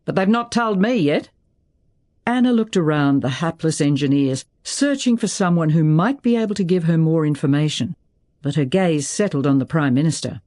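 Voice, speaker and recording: A storyteller reads a scripted novel aloud at a medium pitch. The delivery is that of dramatic audiobook narration, set out scene by scene. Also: expressive, a little fast, warm tone.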